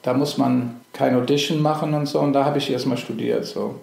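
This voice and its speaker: A middle-aged man from England whose native tongue is English talks in a relaxed, hesitant way, full of fillers and restarts. His voice has a gravelly, fry-edged texture, and the room around him rings with echo. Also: mid-range voice, fairly quick. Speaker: actor, podcast guest. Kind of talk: casual interview chat.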